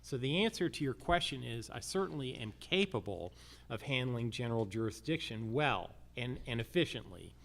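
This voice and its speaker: An American man speaking as a judicial candidate at a public forum, deliberate and using emphatic repetition. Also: mid-range voice, lively intonation, creaky.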